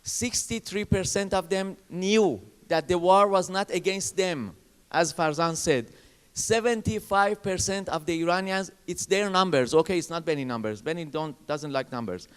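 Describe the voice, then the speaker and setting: Panelist, man, English, emphatic, somewhat high voice with a smooth, resonant tone. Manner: emphatic, repetitive, halting phrasing. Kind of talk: panel discussion. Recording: reverberant conference hall.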